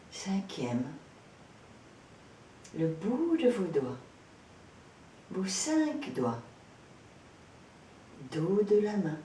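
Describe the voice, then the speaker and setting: A woman with a low voice guides a relaxation session in a calm, gentle manner. She takes her time, leaving pauses between short instructions. The sound is echoey, with some noise and rumble behind her. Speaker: yoga nidra instructor. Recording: roomy echo, distant mic, background noise, rumble.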